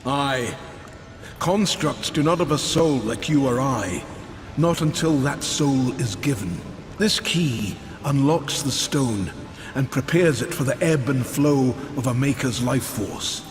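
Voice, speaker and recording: Old craftsman elder, slow and solemn, reverberant space, game music behind. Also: mid-range voice, animated. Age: older. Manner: slow, solemn, measured speech. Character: old male Maker, weary and wise craftsman. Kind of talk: video game cutscene acting.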